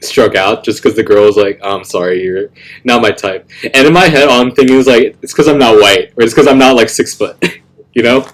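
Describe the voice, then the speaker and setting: A young man hosting a casual chat, animated and laughing often, full of "bro" and "like", with a deep voice. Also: fairly quick, low-quality recording. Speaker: host.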